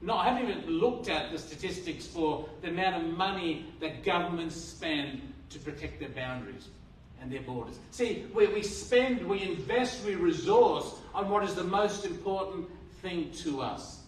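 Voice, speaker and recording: Australian pastor preaching in an echoey hall. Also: male voice, high-pitched, expressive, normal speed, slight background noise. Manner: earnest, repetitive restarts, rhetorical questions. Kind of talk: sermon. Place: church hall. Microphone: handheld mic. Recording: roomy hall from a distance.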